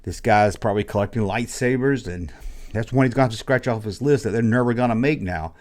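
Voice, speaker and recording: A man hosting a toy collecting channel, ranting bluntly in a casual, exasperated way, his voice hoarse from being sick. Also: medium pitch, fast talker. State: hoarse, losing his voice after illness. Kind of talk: casual opinion rant to camera.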